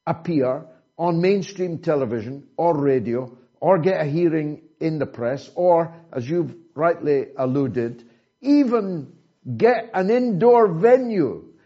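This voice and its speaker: Slow, deliberate British man. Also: on the high side, mellow. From Britain. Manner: deliberate, rhetorical questions. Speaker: interviewer, host. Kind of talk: interview. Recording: dull lo-fi sound.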